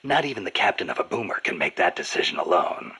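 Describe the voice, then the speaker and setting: A man playing a gruff, battle-hardened American soldier in a video game, skeptical, terse and blunt, with a raspy growl. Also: low-pitched, big pitch swings, quick pace, sharp tone, full character voice. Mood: skeptical, challenging. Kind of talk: video game dialogue acting.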